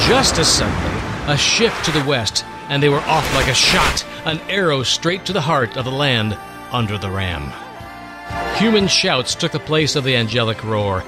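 A man narrating a scripted story as host, in a theatrical, literary delivery. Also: medium pitch.

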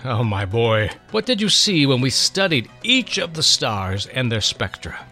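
A man playing a kindly, patient old seer, measured and fatherly, in a dramatic storybook reading. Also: medium pitch, sing-song, pushed and bright, soft background music, full character voice. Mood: gently instructive.

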